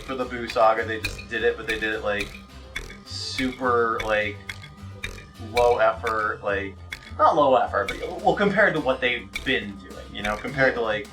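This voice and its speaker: A man's voice: fairly low pitch, distant mic. Rambling, foul-mouthed man over music. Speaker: gaming video host. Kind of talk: rambling casual let's play chat.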